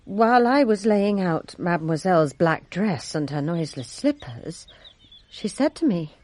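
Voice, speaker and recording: A woman's voice: lowish voice, very animated, moderate pace, a little mellow. A woman playing a servant giving formal, deferential testimony in a radio drama, composed yet resentful of a rival. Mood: careful testimony. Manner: formal, deferential, precise storytelling.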